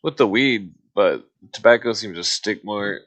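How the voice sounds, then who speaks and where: Bright, low-voiced man chatting casually. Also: measured pace. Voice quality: smoker's cough. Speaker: livestream host. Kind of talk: casual livestream chat.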